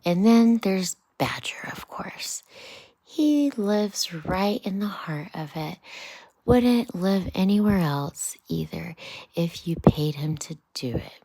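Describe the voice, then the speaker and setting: A woman's voice: lowish voice, expressive, takes it slowly, warm tone, no echo, pitched above the performer's own voice, exaggerated voice, poor audio. A woman voicing a chatty, worldly male water rat, cautious and dismissive, in a children's bedtime storybook reading. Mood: confiding, guarded.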